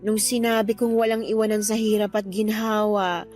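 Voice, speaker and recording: An actress playing a wry, teasing sick Filipina. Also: female voice, mid-range voice, little pitch movement, moderate pace, a little mellow, soft background music, close to an everyday voice. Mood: teasing, bittersweet. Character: sick woman joking wryly about her cancer with her best friend. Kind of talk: Filipino radio drama acting.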